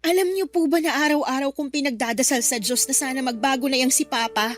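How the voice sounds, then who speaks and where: A woman's voice: high-pitched, fast talker, faint music behind, lightly acted. A woman acting a fed-up, outspoken Filipino daughter in a radio drama, bitter, sarcastic and confrontational. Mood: resentful.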